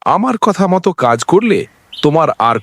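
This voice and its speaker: A man's voice: high voice, big pitch swings, normal speed, slight background noise. A man voicing a kindly, wise holy man in a cartoon story, speaking in an instructive, fatherly way. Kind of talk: dubbed cartoon morality tale.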